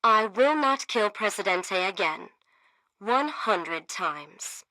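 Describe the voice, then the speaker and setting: Stern schoolmistress for game radio: haughty, measured, mid-pitched, bright edge. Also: female voice, expressive, dry recording, low-quality recording. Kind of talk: satirical game radio acting.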